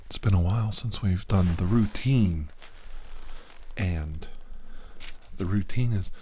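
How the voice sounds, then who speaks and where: A middle-aged man playing a secretive, methodical doctor in an ASMR medical roleplay, speaking softly in a halting, breathy, partly whispered voice. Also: deep voice, a little hiss or hum. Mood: clinical, calm. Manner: slow, halting, hushed delivery. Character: secretive, methodical male doctor examining a patient. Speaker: ASMR performer.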